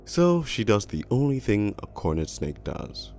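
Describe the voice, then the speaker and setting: A man voicing a cynical, world-weary crooked police detective, narrating an animated noir storybook in a brooding, deliberate, gravelly way. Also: fairly low pitch. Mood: grim. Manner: brooding, hard-boiled, deliberate. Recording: music bed behind the voice.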